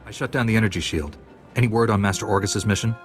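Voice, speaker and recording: Man playing a deep-voiced, brisk young hero. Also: faint music behind, close to an everyday voice. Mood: reassuring. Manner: firm, matter-of-fact. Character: confident, steady young Jedi apprentice hero. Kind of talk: heroic video game dialogue.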